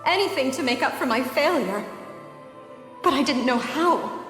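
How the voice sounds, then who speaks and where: An actress playing a remorseful ancient maiden, speaking a solemn, measured, sorrowful monologue in a video game. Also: female voice, high-pitched, expressive, a bit bright. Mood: grave resolve. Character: remorseful ancient maiden confessing her doom. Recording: echoing long reverb, music behind.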